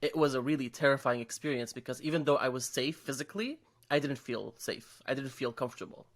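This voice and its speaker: A man from Syria with a mid-pitched voice talks as a guest in an interview. He is earnest and open, explaining his creative work conversationally and sometimes acting out his own thoughts as quotes. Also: quick pace. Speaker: interview guest, comic book writer. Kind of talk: conversational interview answers, personal storytelling.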